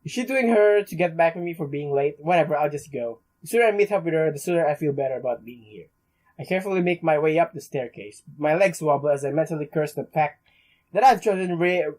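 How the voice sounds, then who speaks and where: A man's voice: on the high side, darkish tone, rough recording. A young man hosting a let's play, reading story text aloud with casual asides and often stumbling over words.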